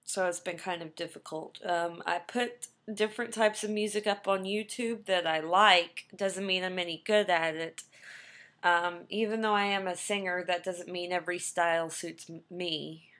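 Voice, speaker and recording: A woman's voice: fairly low pitch, steady tone, normal speed, a bit bright. A young woman, a trained singer, talking casually about her background to a webcam, with plenty of ums and restarts. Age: young adult. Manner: rambling, many ums and restarts.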